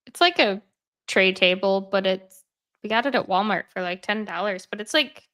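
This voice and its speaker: A woman's voice: medium pitch, moderate pace, fairly bright tone. A woman hosting a casual chat about hobbies. She talks in a rambling way, with many fillers, and sounds a bit congested. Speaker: host. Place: at home, sitting in bed.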